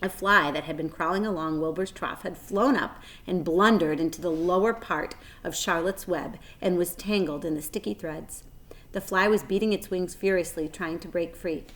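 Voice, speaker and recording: A woman's voice: on the low side, normal speed, fairly bright tone. A woman reading a children's story aloud like a teacher, expressively, addressing her young listeners.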